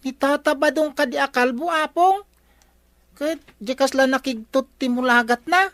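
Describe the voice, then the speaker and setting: A woman's voice: sits high, moderate pace, fairly bright tone, no room sound. A woman playing an inquisitive, cheeky young grandchild with an Ilocano accent in a radio comedy drama, chatty and questioning. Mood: cheeky, curious.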